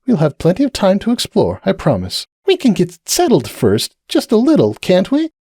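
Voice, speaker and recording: A man voicing a tired, fretful middle-aged pony mare with a gentle, motherly manner. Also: high voice, wildly swooping pitch, normal speed, husky, pitched above the performer's own voice, heavily acted.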